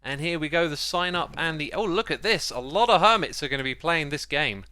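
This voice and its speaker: A man with a slightly high, bright voice that is very clean and smooth, recorded dry and close. He chats casually as he hosts a gaming video, dropping in playful exclamations. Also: expressive, fairly quick, dry recording. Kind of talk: casual gameplay commentary.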